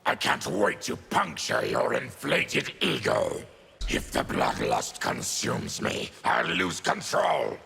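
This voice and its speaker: A man's voice: lowish voice, huge pitch swings, slow, creaky, bright, forceful tone, breathy edge, full character voice. A man playing a fierce, proud mutant warrior with a harsh growl in fighting-game dialogue. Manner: menacing, weighty delivery. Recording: long reverberant hall echo.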